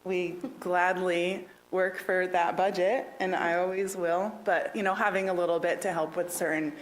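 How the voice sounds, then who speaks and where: A woman's voice: lowish voice, level tone, moderate pace, low-quality recording. A woman who is a task force member, speaking earnestly and easily during a council work session presentation. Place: council meeting room.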